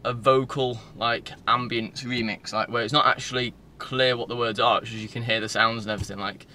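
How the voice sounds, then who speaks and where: A young Northern English man talks casually to his phone in a car. His voice is bright and sits at a middling pitch. He rambles informally from one thought to the next, with faint background noise in the recording. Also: flat delivery, brisk. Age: 20s. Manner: informal, loose, digressive. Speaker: vlogger. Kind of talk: casual rambling vlog chat. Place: inside a parked car. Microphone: phone at arm's length.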